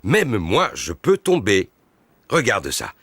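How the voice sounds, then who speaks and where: A man's voice: lowish voice, wildly swooping pitch, takes it slowly, a bit bright, a little hiss or hum, exaggerated voice. A man voicing a jolly, clumsy father pig in a cartoon, good-humoured. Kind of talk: cartoon dubbing.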